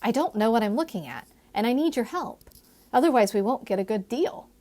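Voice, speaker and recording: A woman voicing a confident, teasing gentleman in a historical romance audiobook, with persuasive, formal phrasing. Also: a little high, lively intonation, a little fast, pitched above the performer's own voice.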